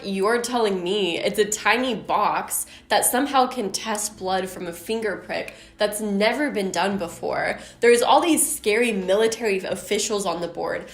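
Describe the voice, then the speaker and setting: A woman with a somewhat deep voice telling a story in a chatty, casual commentary way, often saying "like". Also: roomy sound. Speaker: YouTube storyteller.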